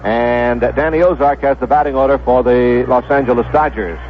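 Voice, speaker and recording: An American man calling baseball on 1970s radio in a measured announcing manner, repeating names for clarity. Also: medium pitch, steady tone, a little hiss or hum. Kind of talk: 1970s radio sports commentary, pregame lineups. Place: stadium broadcast booth. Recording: dull lo-fi vintage radio broadcast.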